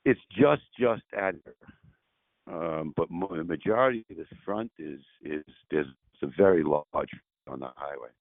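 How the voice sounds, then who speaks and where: A man's voice: sits low, normal speed, vocal fry, dry recording. A man in his sixties, a property owner talking casually on a call, rambling and restarting his sentences, laughing easily. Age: 60s. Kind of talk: casual phone conversation. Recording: tinny phone line.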